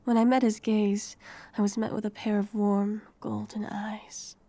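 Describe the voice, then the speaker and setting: A young woman playing a wary, homeless heroine in her twenties, telling her story softly and with measure in an audio drama. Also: medium pitch, level tone, a little slow. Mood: reflective. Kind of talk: first-person audio drama narration and dialogue.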